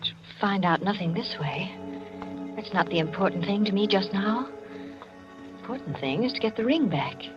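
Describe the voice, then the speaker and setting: An actress playing a lively, commanding society hostess in a theatrical 1940s radio drama style, poised and gracious. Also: female voice, medium pitch, moderate pace, pauses often, close to an everyday voice. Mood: tense, steely. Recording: muffled 1940s radio recording with music.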